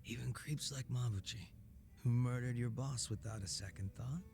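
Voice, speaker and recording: Man playing gravelly, sardonic ex-gang boss. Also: medium pitch, normal speed, a little mellow, lightly acted. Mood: sly, mocking. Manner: teasing, casual, profane. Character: sardonic, laid-back former male gang boss. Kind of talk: English video game cutscene acting. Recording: music under the dialogue.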